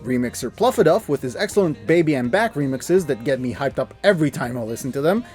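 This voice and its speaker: A man with a fairly high voice narrates an enthusiastic review over music. His delivery is scripted but informal, with effusive emphasis on what he recommends. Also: lively intonation, fast, darkish tone. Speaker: video reviewer.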